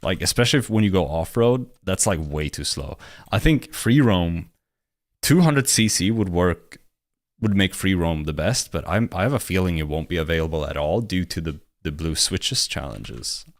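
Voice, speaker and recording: Very deep-voiced man chatting hesitantly. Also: animated, moderate pace, no echo, clean studio sound. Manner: hesitant, lots of fillers and restarts. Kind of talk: casual chat.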